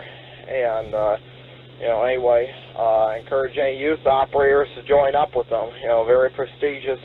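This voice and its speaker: A man making a casual, rambling, hesitant check-in as an amateur radio operator over a radio link. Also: mid-range voice. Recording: phone-like radio link with faint hum.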